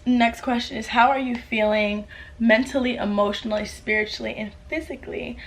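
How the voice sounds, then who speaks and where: A woman's voice: fairly high pitch, steady tone, brisk, slight background noise, low-quality recording. Chatty teenage girl vlogging. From United States. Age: late teens. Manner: chatty, upbeat, lots of "like" fillers. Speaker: YouTuber. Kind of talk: casual Q&A vlog to camera. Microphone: camera mic with ring light.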